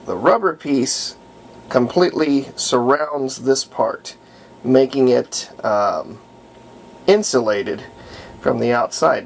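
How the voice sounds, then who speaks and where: Male hobbyist restorer, casual explainer. Gravelly fry, unhurried halting delivery, pauses mid-thought. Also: medium pitch, slight background noise. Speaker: hobbyist radio restorer demonstrating a repair. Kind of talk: casual hands-on tutorial talk.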